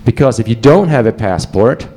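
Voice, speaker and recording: A man's voice: medium pitch, animated, moderate pace, dark tone. A man with a Swedish accent giving a casual, joking conference presentation to a live audience. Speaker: conference speaker.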